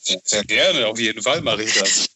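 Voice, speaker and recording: A man's voice: fairly low pitch, expressive, normal speed, background noise. A middle-aged man chatting casually and enthusiastically as an interview guest, often repeating the starts of phrases as he searches for words. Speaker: podcast interview guest, novelist.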